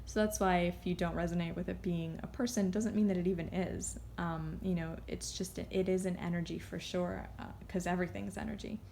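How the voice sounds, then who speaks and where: A woman giving a casual, unscripted card reading, rambling and halting as she drifts off on tangents. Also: fairly low pitch, fairly even intonation, creaky, dark tone, a little hiss or hum. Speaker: tarot card reader.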